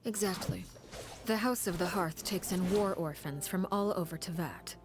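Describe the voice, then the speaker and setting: A cold, composed woman, played by an actress, tells a dark tale in a soft, breathy half-whisper. Her voice sits low and stays measured and grave, as in a game cutscene. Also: music in the background, close to an everyday voice. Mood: grave, calm. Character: cold, composed woman recounting a grim past. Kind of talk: game story cutscene dialogue.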